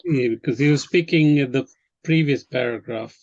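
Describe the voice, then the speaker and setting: A man from Malawi joins a scripture study chat, relaxed and agreeable, often giving short replies. His voice sits mid-range on a dull, muffled recording. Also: mid-range voice, normal speed. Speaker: study group member. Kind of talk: casual discussion.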